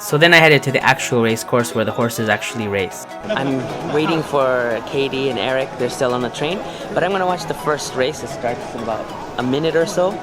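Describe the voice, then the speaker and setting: A young man with a cold-roughened, hoarse voice narrates his own travel vlog in a relaxed, rambling way. His pitch sits mid-range, and background music plays clearly under his talk. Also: a little fast. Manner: chatty, loose, many "like" and "um" fillers. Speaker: vlogger.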